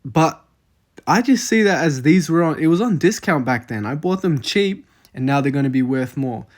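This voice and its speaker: A man's voice: on the high side, expressive, moderate pace. A twenty-year-old Australian man casually walking through his investment numbers, thinking aloud with frequent fillers. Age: 20s. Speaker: investing vlogger. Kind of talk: casual screen-share walkthrough.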